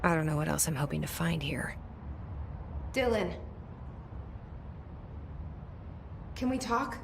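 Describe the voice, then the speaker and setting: A woman's voice: deep voice, animated, moderate pace, creaky, noisy background. An actress playing a guarded, determined young woman, talking tersely and half to herself. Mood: soft. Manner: terse, guarded inner monologue.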